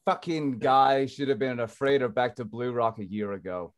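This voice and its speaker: A man playing a crude, grumbling senior engineer in a screenplay table read, gruff and sour. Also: mid-range voice, moderate pace, dry recording, clean studio sound, natural voice. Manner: gruff, sour, foul-mouthed.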